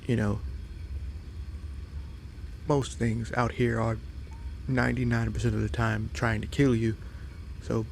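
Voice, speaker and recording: A man's voice: mid-range voice, vocal fry, slight background noise, lightly acted. A man playing a friendly, curious fox-boy monster in a roleplay audio drama, hesitant and apologetic as he addresses the listener. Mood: apologetic, gentle. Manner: hesitant, halting, apologetic, wide-eyed.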